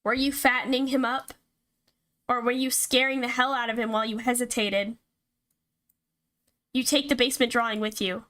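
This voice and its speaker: High-pitched woman streaming a game. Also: fairly even intonation, normal speed, long pauses, sharp tone. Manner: reacts aloud, swears casually. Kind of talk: reading visual novel text aloud with casual live commentary. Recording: music behind the voice. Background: game music.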